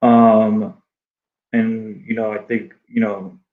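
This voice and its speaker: A man whose first language is Arabic, speaking haltingly with many restarts as a guest in a casual interview. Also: fairly low pitch, completely flat delivery, narrow, tinny audio. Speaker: guest artist.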